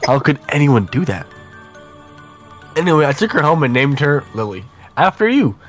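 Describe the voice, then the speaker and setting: A man's voice: a little high, sing-song, normal speed, faint music behind. A man voicing a chatty, affectionate young female letter writer in a visual novel, conversational and self-deprecating. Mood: chatty, sheepish.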